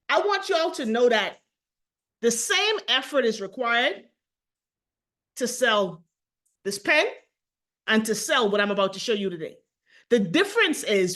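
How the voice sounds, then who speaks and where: A woman from the Bahamas with a clear Bahamian accent leads a lively sales presentation. Her voice sits a little on the higher side, and she talks straight to the listeners and keeps throwing questions at them. She sounds like she's in her thirties. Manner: energetic, persuasive, addresses the audience directly with questions. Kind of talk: motivational sales pitch on a video call.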